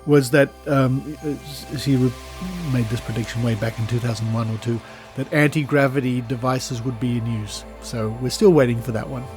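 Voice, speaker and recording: A man's voice: medium pitch, steady tone, normal speed, over music. A man with an Australian accent hosts a podcast in an informal, conversational style. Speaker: podcast host.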